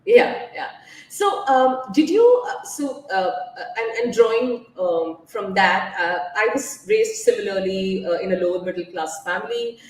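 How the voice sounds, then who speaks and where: A woman from India, a nutrition guest talking openly and personally in a casual interview, often pausing on "uh" and restarting her sentences. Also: medium pitch, lively intonation, a little mellow, far from the mic. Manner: open and personal, with frequent "uh" fillers and restarts. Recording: echoey room, voice set back from the mic.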